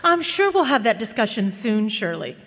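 A woman's voice: medium pitch, big pitch swings, moderate pace, a bit bright. An actress playing a composed, middle-aged society mother in a radio drama, dry, formal and unimpressed. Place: large performance hall stage. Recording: echoey concert hall, distant stage sound.

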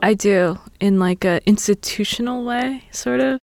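A woman's voice: medium pitch, unhurried, gravelly, a little mellow, dry recording. A woman who works in radio, chatting as an interview guest. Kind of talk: conversational interview.

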